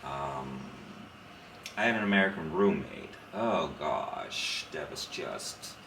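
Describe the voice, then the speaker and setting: Deep-voiced Dutch man, roomy and distant. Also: slow, noisy background. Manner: frank, opinionated, animated storyteller. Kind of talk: casual conversation. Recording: roomy sound from a distant mic.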